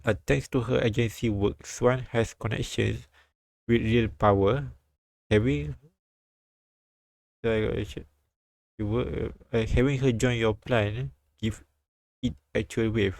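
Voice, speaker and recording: A man streaming a live read-aloud of a visual novel, halting and repeating lines as he goes. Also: mid-range voice, steady tone, gravelly, a little mellow, dry recording.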